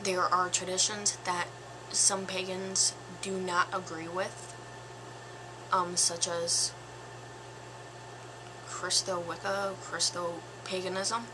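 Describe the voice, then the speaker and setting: A woman in her twenties with a slightly raspy voice, giving her opinion in a casual vlog, slow and thoughtful with pauses and fillers. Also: on the low side, little pitch movement, noisy background. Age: 20s. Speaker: vlogger.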